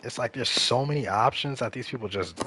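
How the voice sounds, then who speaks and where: A man's voice: mid-range voice, a little fast, background noise. A man in his thirties chatting casually and rambling, repeating himself as he streams a game. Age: 30s. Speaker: gaming streamer. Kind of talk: casual chat while playing a game.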